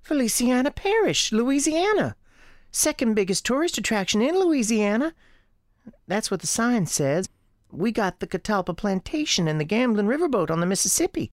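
A woman plays a lost little girl from a radio drama, with a Southern US accent. She is earnest, anxious and polite, her pitch swinging freely in a dry, close recording. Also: very animated, moderate pace, dry recording.

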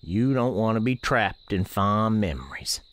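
Low-voiced man, folksy storyteller. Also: animated, a little slow. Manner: folksy, colloquial Southern-style phrasing. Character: folksy, gentle male country storekeeper narrating a ghostly tale. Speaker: narrator. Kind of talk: first-person storytelling in a radio play.

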